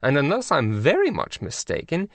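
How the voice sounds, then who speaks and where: A man reading an audiobook voices a haughty elderly English queen, prim and slow-spoken. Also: a little high, wildly swooping pitch, no room sound, voice pushed up. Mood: imperious.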